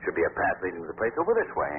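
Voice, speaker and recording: Suave young gentleman detective, breezy, swoops around a lot, crackly tinny 40s radio. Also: sits low, wildly swooping pitch, rapid-fire. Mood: casually confident. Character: urbane, self-assured wealthy young gentleman sleuth. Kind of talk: 1940s radio acting. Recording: tinny, noisy 1940s radio recording.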